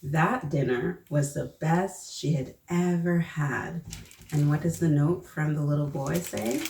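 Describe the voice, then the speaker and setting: A woman reading a children's story aloud, pausing to prompt young listeners with questions. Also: sits low, a little mellow. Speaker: children's storybook reader and host. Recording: roomy echo, distant mic, faint noise.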